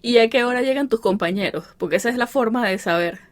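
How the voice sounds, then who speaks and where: A woman's voice: on the high side, fast, dry recording. A woman host, interviewing a guest in a supportive, conversational way. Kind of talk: casual interview chat.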